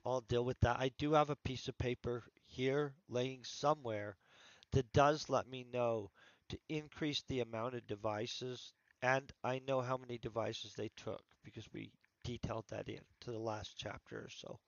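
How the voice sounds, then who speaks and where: Male author, congested voice, casual rambling stream talk, mid pitch, dull dry recording. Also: steady tone, moderate pace, no room sound. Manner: rambling, thinking aloud with restarts. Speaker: author streaming his writing session. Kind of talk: casual livestream chat.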